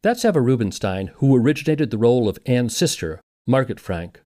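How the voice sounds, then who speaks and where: A man's voice: mid-range voice, sing-song, a little fast, warm tone. A man narrating a scripted documentary podcast in a conversational, wry manner.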